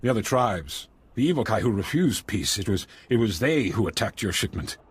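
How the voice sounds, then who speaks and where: A halting husky alien chief. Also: male voice, fairly low pitch, big pitch swings, no room sound, heavily acted. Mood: pleading. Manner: halting, broken phrasing.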